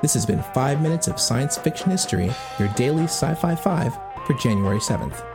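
A man's voice: medium pitch, lively intonation, a little fast, over music. An American man hosting a podcast, reading scripted narration. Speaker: podcast host.

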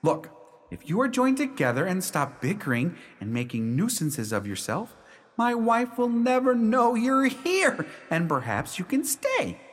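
Guy acting frazzled pleading husband in a radio play, super animated, big echo. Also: a little slow, full character voice. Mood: persuasive. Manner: emphatic, imploring, high-strung. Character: exasperated, pleading husband reasoning with ghosts. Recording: long echoing reverb.

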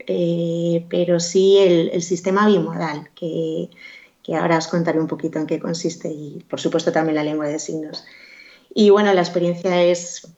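A woman with a lowish voice answers interview questions at length. She hesitates, fills gaps with little sounds and circles back to finish her thoughts. The recording sounds dull and lo-fi. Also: mellow. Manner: hesitant, many fillers and restarts. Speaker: guest. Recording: dull lo-fi audio.

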